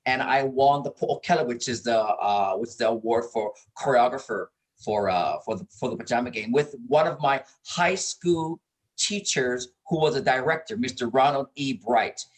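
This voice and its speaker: A middle-aged man from Hawaii speaks as a guest in a casual interview. His voice sits at a medium pitch for a man, and he tells his story expressively, repeating words for emphasis. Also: moderate pace, rough recording. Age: middle-aged or older. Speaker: interview guest, performer and producer.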